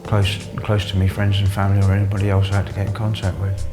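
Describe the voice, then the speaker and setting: A man giving unscripted interview testimony, halting and repeating words as he goes. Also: lowish voice, completely flat delivery, over music. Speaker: interviewee, former detained patient.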